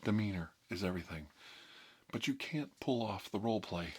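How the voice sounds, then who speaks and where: A man presenting a tongue-in-cheek ASMR tutorial as a mock doctor, speaking slowly and deliberately with a deadpan delivery. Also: fairly low pitch, husky. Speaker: ASMR video host.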